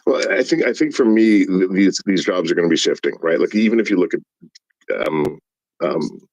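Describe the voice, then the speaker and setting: A man with a very low voice talks through ideas in a casual panel debate. He keeps checking in with "right?" as he builds his point. The sound is dry and close. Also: moderate pace, darkish tone, no room sound, lo-fi sound. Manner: thoughtful, seeks agreement with tag questions.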